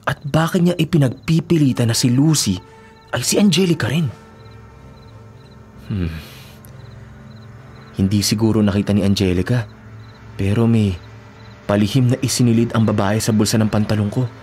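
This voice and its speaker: A man acting an earnest, lovestruck young boyfriend in a radio drama, expressive and prone to stammering when startled. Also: fairly low pitch, normal speed, pauses often, faint music behind, natural voice. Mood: puzzled, uneasy.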